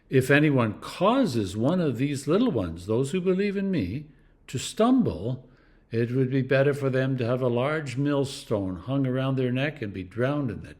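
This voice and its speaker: A clergyman reading scripture aloud in a deliberate, expressive way, with dramatic emphasis and pauses. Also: medium pitch. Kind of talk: scripture reading, devotional narration.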